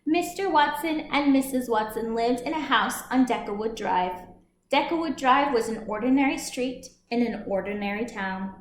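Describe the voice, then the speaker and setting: A woman's voice: a little high, expressive, moderate pace. A woman library storyteller reading a picture book aloud expressively to young listeners. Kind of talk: children's storybook read-aloud. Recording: roomy echo, some background noise.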